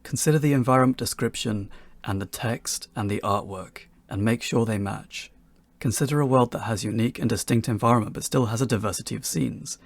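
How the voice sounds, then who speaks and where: A man's voice: mid-range voice, a little fast, gravelly, no echo. A man reading a scripted instructional talk for beginners as its presenter.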